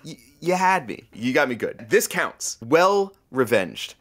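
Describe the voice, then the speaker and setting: A woman playing a wry, irreverent radio producer with a husky voice and casual, bantering delivery. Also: low voice, big pitch swings, unhurried, full character voice. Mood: amused, wry. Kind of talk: audio drama, casual banter.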